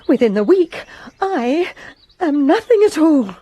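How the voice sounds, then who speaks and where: A woman's voice: high-pitched, big pitch swings, measured pace, dark tone, dry recording. A woman playing a resentful lady's maid who gives her testimony with bitter emphasis in a radio drama.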